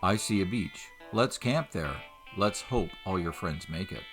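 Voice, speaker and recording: A man playing a calm, reassuring adventurer father in an audio drama, long separated from his son. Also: low-pitched, measured pace, soft background music. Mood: steady.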